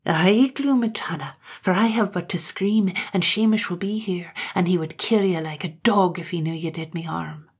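Fierce young Highland wildcat of a girl, as a woman reader puts her on. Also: normal speed, hardly any pauses, husky. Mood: threatening. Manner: spitting, fiery defiance.

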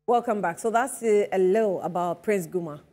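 A woman in her thirties hosts a studio interview, asking probing questions in a conversational way. Her voice is mellow and warm at a middle pitch, picked up on a lapel mic. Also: a little fast. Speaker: TV talk show host. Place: bright TV studio.

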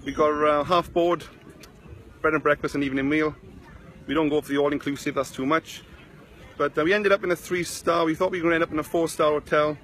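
A rambling, chatty man with a thick South Wales valleys accent, talking into a phone at arm's length outdoors. His voice sits a little high for a man and stays fairly flat in pitch. Faint outdoor noise hums behind him. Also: a little fast. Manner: rambling, chatty, greets viewers by name. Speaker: online marketing vlogger. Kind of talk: casual Facebook live chat. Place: sunny beach outdoors. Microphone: phone held at arm's length.

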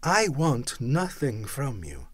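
A cheerful young man pleads earnestly, as a male reader gives him voice. His pitch rises and falls with feeling, recorded close and dry. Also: wildly swooping pitch, slow pace, fairly bright tone, no room sound, exaggerated voice.

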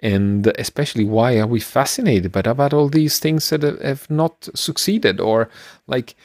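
A middle-aged man co-hosting a podcast, explaining things casually in a halting, rambling way. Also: mid-range voice, darkish tone, dry recording, studio quality. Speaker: podcast co-host. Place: small room at home, on a video call. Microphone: close microphone, headphones.

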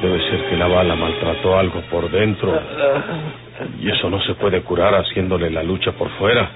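An actor in an old radio drama playing a resolute, plain-spoken rustic outlaw leader. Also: male voice, deep voice, little pitch movement, moderate pace, lightly acted. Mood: grave. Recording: tinny old radio recording with music.

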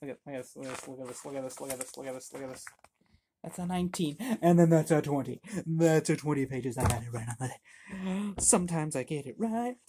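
A man's voice: on the high side, quick pace, background noise. A man in his thirties hosting a casual board game unboxing at home on a webcam, rambling and self-deprecating with lots of fillers and restarts. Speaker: board game channel host. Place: home room with game shelves.

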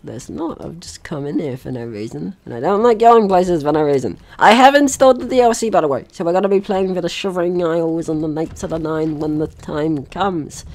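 A man's voice: on the high side, expressive, a little fast, few pauses, darkish tone. A man giving casual let's play commentary, chatty and jokey, talking to himself and the game's enemies. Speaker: gaming channel host.

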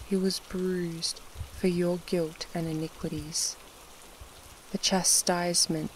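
A narrator reading scripture and prayer for a sleep meditation in a measured, solemn way with pauses between short phrases, in a devotional style. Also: medium pitch, a little hiss or hum, poor audio. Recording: steady rain sound over the voice. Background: soft rain.

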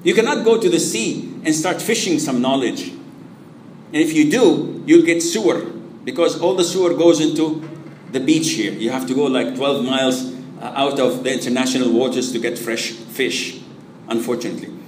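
A man's voice: mid-range voice, moderate pace. Male preacher, conversational lecture, Arabic phrases woven in, roomy reverb, faint hiss. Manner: conversational, asks and answers own questions; sprinkles Arabic phrases into English. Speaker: Islamic preacher.

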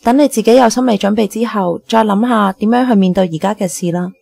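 Actress as mellow, caring young Hong Kong woman. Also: medium pitch, quick pace, gravelly, breathy edge. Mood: gentle, reassuring. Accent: Hong Kong Cantonese. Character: caring young woman, gentle loyal best friend. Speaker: radio drama actor. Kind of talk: radio drama acting.

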